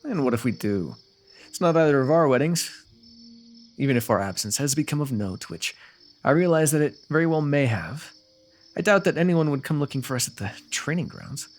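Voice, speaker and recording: A man's voice: on the high side, expressive, a little fast, close to an everyday voice. A man playing a confident, teasing royal rival turned ally in a fantasy roleplay audio, speaking in formal, wordy, playfully goading phrases. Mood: wry, conversational.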